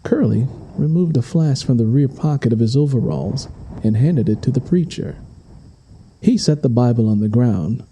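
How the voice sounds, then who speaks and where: A man's voice: medium pitch, expressive, moderate pace, dark tone, a little hiss or hum, rough recording. A man reading a scripted, dramatic story as its narrator. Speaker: audiobook narrator.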